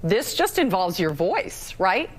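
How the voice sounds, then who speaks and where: A woman's voice: medium pitch, very animated, takes it slowly, a little hiss or hum. A woman interviewing as a TV host in a friendly, informal, chatty broadcast style. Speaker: TV news host interviewing a guest.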